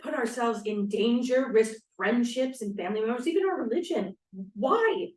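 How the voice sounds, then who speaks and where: High-voiced brash young activist woman acted by a woman. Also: animated, normal speed, a little mellow, lightly acted. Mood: rousing. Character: brash, exuberant young hippie feminist woman. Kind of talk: stage play table read. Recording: echoey room, distant mic.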